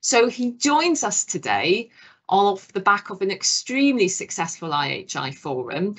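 A woman, hosting a webinar and giving a measured, formal introduction of the speaker over a video call. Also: medium pitch, big pitch swings, fairly bright tone, breathy edge. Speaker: webinar host.

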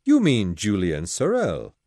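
A man voicing a proud, ambitious aristocrat in an audiobook, brisk and commanding. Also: on the low side, wildly swooping pitch, warm tone, dry recording, clean studio sound, exaggerated voice.

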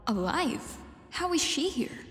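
A woman playing a rich, scornful, sharp-tongued boss in a radio drama, haughty and indignant. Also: high voice, sing-song, slow pace, exaggerated voice. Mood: shocked. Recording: echoey reverberant hall.

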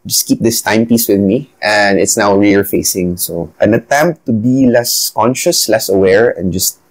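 Solo podcast host: Filipino-accented man, 30s, slightly low voice, reflective and chatty, lapel mic in a small room. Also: moderate pace. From Philippines. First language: Bisaya. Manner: reflective, conversational, addresses viewers as guys. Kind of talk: solo talk to camera. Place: small indoor room at a desk.

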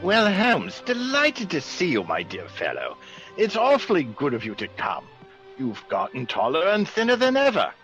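An actor playing a genial, excitable aristocratic country squire, well-bred and blustering, in classic radio drama. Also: male voice, fairly high pitch, moderate pace, faint music behind, full character voice. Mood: hearty. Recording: dull, faintly noisy old radio recording.

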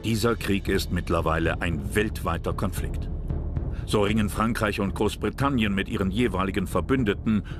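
A man narrating a historical documentary from a script in a measured, serious manner. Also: fairly low pitch. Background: loud music bed.

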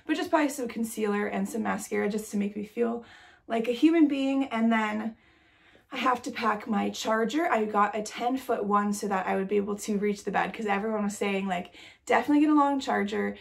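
Congested young woman with a gravelly voice. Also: medium pitch, fairly quick. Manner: chatty, with many "like"s and light laughs. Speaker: vlogger. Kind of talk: casual chat to camera. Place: nursery room indoors.

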